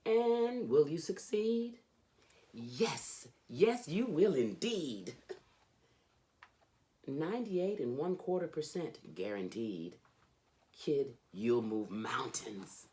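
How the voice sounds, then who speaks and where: A woman reading a children's storybook aloud as host, with theatrical, emphatic delivery and drawn-out phrases. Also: low-pitched, very animated, mellow.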